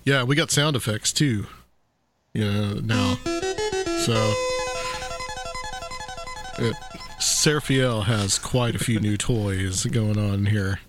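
A man hosting a casual interview podcast, talking haltingly with many fillers and restarts. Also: fairly low pitch, loud music behind the voice. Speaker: podcast host.

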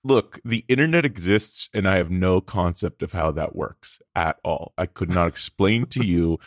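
A man's voice: low voice, expressive, normal speed, no room sound, clean studio sound. A man co-hosting a comedy podcast, debating casually in a wry, skeptical way. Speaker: podcast co-host.

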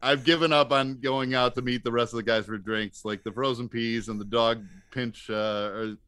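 A man's voice: mid-range voice, fairly even intonation, moderate pace, a bit bright, clear, resonant tone, no echo. Man telling a loose, wry podcast anecdote. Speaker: podcast guest, comedian. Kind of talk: casual anecdotal storytelling.

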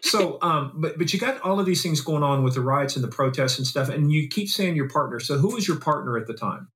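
A man in middle age hosts a casual podcast in the manner of a former detective. He wisecracks and banters freely, in a voice pitched a bit above average. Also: animated, fairly quick, fairly bright tone. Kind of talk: bantering, profane casual talk.

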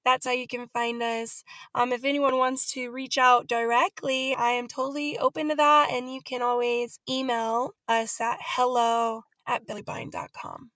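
High-voiced upbeat woman guest. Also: flat delivery, normal speed, bright, forceful tone, dry recording. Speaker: podcast guest, company founder and author. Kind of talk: casual interview.

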